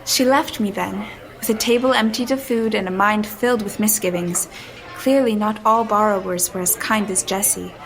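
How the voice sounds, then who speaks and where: A woman's voice: mid-range voice, steady tone, moderate pace, natural voice, low-quality recording. An actress playing a wary, polite young woman in a gothic audio drama, with a hesitant, careful and genteel manner. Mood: reflective. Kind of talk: audio drama acting with narration.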